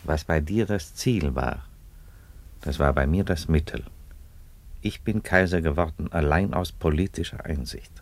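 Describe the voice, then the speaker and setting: Very deep, coolly ironic Roman emperor. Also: male voice, dry recording, heavily acted. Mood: dry, reasoning. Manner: deliberate, unruffled, declarative. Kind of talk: German radio play acting.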